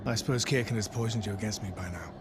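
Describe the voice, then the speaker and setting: A man's voice: fairly low pitch, fast talker, background noise, natural voice. A man playing a grandiose, coolly menacing warlord mage in a video game, with a theatrical, imperious delivery. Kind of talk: video game cutscene acting.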